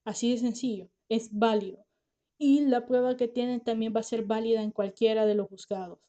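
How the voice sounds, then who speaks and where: A woman's voice: on the high side, fairly even intonation, normal speed, mellow. A woman podcast host, a lawyer and author, talking casually and earnestly to her listeners; she is tired, finds it hard to talk and can't pronounce her R well. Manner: earnest, reassuring, speaks directly to listeners.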